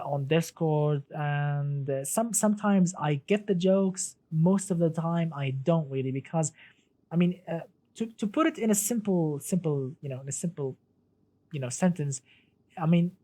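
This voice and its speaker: A man's voice: fairly high pitch, normal speed, mellow. A man whose first language is Arabic, chatting casually on a live stream about cars and reading out viewer comments, with many restarts and a friendly "my friend.".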